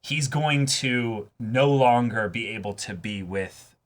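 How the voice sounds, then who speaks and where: A man hosting an anime reaction show, talking casually and haltingly, repeating and rephrasing phrases for emphasis. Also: mid-range voice.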